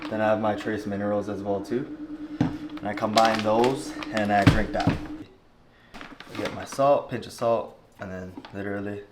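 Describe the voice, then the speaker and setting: A man's voice: on the low side, steady tone, normal speed, very noisy. A young man from Hawaii vlogging unscripted, rambling earnestly with lots of "you know" and "like". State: jet lagged. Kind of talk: unscripted casual vlog talk.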